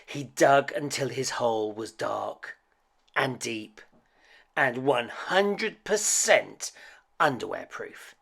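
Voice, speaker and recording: A man reading a children's picture book aloud in a dramatic, expressive way. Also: medium pitch, takes it slowly, creaky, slightly rough. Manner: dramatic, expressive, with hushed suspenseful dips. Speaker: children's story reader.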